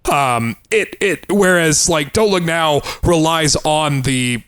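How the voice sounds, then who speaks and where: Rambling man with a higher-pitched voice. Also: takes it slowly, fairly bright tone, clear, resonant tone. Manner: profane, rambling delivery. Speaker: podcast co-host. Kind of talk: casual film discussion.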